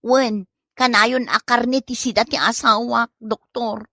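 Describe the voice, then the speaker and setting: A woman's voice: fairly high pitch, steady tone, slow, bright, forceful tone, no room sound, clean studio sound, full character voice. A woman with an Ilocano accent playing a nagging, exasperated wife in a village comedy drama. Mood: complaining.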